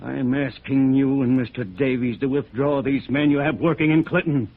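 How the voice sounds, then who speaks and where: An American actor playing a hostile small-town sheriff in a 1950s radio drama, blustering and threatening. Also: male voice, medium pitch, steady tone, moderate pace, close to an everyday voice. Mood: indignant, threatening. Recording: tinny narrow-band old radio recording.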